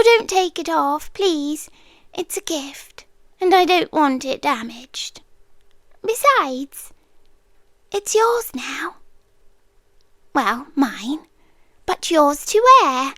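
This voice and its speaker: A British woman voicing a possessive, doting monster girlfriend in an ASMR roleplay, soft and breathy, often slipping into intimate, coaxing whispers. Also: very high-pitched, no room sound, studio quality, exaggerated voice. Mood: possessive, tender. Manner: slow, cooing, intimate, coaxing. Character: possessive, doting female monster yandere girlfriend hoarding treasures.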